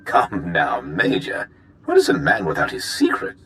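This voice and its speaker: An actor playing an urbane, secretive imperial leader, briefing with measured, teasing formality in a video game. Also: male voice, sits low, wildly swooping pitch, gravelly, a bit bright, a little hiss or hum, full character voice.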